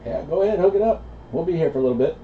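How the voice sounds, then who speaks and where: A man's voice: fairly high pitch, lively intonation, rapid-fire. A middle-aged man chatting casually as an operator over CB radio. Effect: CB radio transmission. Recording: muffled CB radio reception, loud static noise.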